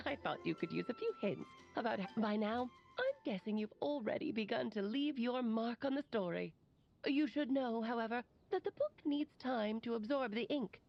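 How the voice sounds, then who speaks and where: A woman's voice: a little high, creaky, dull audio. A woman voicing a playful, breezy witch in a video game, speaking a recorded message in a whimsical, affectionate, sing-song way.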